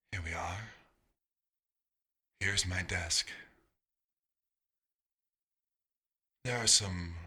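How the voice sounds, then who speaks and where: A man voicing a centuries-old vampire doctor, wistful and dryly wry, in a soft-spoken ASMR roleplay with a husky, breathy voice and halting, musing pauses. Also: sits low, exaggerated voice. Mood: nostalgic, musing.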